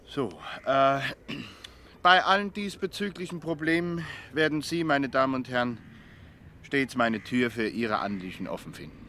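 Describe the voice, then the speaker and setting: An actor playing a self-important, oily housing official who speaks pompous, stilted officialese. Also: male voice, mid-range voice, normal speed, pushed and bright, slight background noise, lightly acted. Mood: pompous, obliging.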